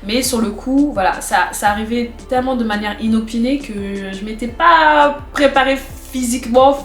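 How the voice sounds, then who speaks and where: A woman's voice: on the high side, normal speed, soft background music. A young woman with a cold, talking casually to camera in a chatty way and repeating phrases for emphasis. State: has a cold, stuffy. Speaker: vlogger. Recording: echoey room recording.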